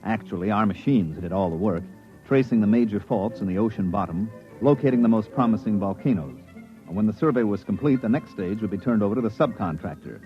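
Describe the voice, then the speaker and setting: A man's voice: lowish voice, expressive, brisk, soft background music, lightly acted. Man acting a wry surveyor, muffled radio sound. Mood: dry narration. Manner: dry, conversational, wry. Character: wry, skeptical male planetary surveyor telling his misadventure. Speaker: narrator and lead character. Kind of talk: scripted radio drama narration and dialogue. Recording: muffled old radio drama recording.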